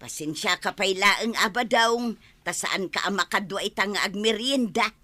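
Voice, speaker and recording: A woman's voice: mid-range voice, unhurried, pushed and bright. A woman with an Ilocano Filipino accent playing a cheerful, sociable village mother in a radio comedy-drama.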